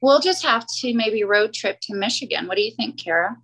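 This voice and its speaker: A woman's voice: on the high side, animated, creaky, fairly bright tone, breathy edge. A woman co-hosting a podcast in casual chat, talkative and rambling, laughing easily. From southern United States. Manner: chatty, rambling, quick laughs. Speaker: podcast co-host.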